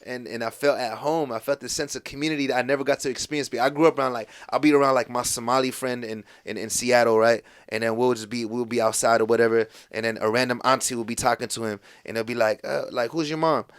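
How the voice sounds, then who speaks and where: Young man, casual storytelling, fillers, mid-pitched voice. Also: quick pace, hardly any pauses, clear, resonant tone. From Morocco, raised in the United States. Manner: rambling storytelling, heavy fillers, lively voices for quoted people. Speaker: guest. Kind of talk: casual podcast conversation.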